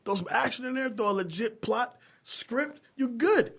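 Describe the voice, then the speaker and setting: Higher-pitched man, opinionated podcast talker. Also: animated, moderate pace, creaky, a bit bright, husky. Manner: opinionated, profane. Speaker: podcast co-host discussing movies. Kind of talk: casual chat among friends.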